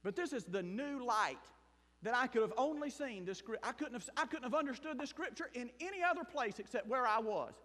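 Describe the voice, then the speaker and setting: A man from South Mississippi with a Southern accent, preaching a sermon and telling his own story as a missionary pastor, shifting between loud bursts and hushed asides. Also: extremely high pitch, animated, fairly quick. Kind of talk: sermon with personal testimony. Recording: long hall reverb.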